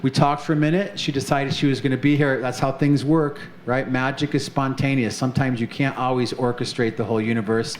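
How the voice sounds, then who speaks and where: Upbeat male host working a live stage crowd, mid pitch, roomy sound. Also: steady tone, brisk, few pauses. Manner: upbeat, chatty, many fillers. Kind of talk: live show hosting. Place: off-center stage of an arts center, live audience. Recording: echoey room with faint noise.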